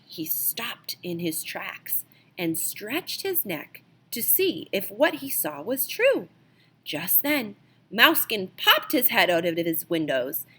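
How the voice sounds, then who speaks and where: A woman reading a children's picture book aloud as an expressive storyteller, with dramatic emphasis. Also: mid-range voice. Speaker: storybook reader.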